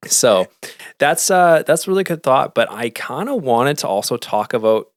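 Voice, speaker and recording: Male podcast host, born in Canada; rambling, self-correcting questioner, mid-pitched, dry close-mic sound. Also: big pitch swings, moderate pace, dry recording. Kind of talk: casual interview conversation.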